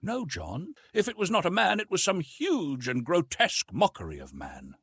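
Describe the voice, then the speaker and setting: A man's voice: sits high, huge pitch swings, moderate pace, a bit bright, slightly rough, dry recording, voice pushed up, full character voice. A man voicing a frightened young woman in an adventure audiobook, with a dramatic, pleading manner. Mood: fearful. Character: frightened wife in the jungle, anxious young Englishwoman.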